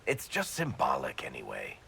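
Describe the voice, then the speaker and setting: A gravelly, wry rogue. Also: male voice, very deep voice, wildly swooping pitch, moderate pace, bright, forceful tone, slight background noise, full character voice. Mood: amused, offhand. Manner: casual, offhand, dryly joking. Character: wry, easygoing middle-aged male rogue city leader. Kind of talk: video game cutscene acting.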